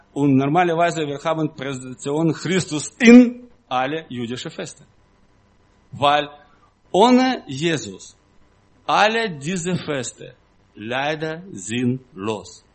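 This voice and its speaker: A man preaching in a non-native, foreign-accented voice, halting and simply phrased, with emphatic repetition. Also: a little high. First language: not German. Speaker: guest preacher. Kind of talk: sermon-like teaching talk to a congregation.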